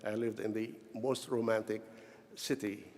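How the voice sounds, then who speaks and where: A middle-aged or older man from Syria with a Syrian Arabic accent gives a formal, prepared ceremonial speech in measured, deliberate short phrases. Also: lowish voice, little pitch movement, a little mellow, a little hiss or hum. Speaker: honorary doctorate recipient giving convocation address. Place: large convocation hall, speaking to graduates. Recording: echoey large hall with long reverb.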